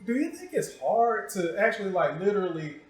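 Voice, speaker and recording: A man's voice: high-pitched, big pitch swings, fairly quick. An American man interviewing as a show host in a casual, joking way, with teasing, provocative, slangy talk. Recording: echoey, reverberant room.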